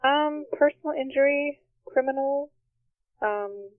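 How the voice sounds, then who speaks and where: A woman receptionist speaks in a high voice on a business call. She is polite and to the point. The phone sound is thin and dry. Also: measured pace, no room sound. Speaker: law office receptionist. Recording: tinny narrowband phone line.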